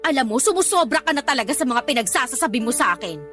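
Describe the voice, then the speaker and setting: A very high, bright female voice plays a vain, whiny young girlfriend who loves to bicker. She is sassy and theatrical in radio drama style, with music underneath. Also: animated, fast talker. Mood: defensive, bickering. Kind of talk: Tagalog radio drama acting.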